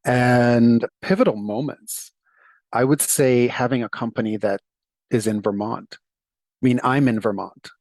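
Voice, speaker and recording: A man's voice: medium pitch, fairly even intonation, unhurried, no room sound, rough recording. A middle-aged man from Jamaica, telling his story as an interview guest in a thoughtful way that laughs easily. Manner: thoughtful, warm, laughs easily, occasional restarts.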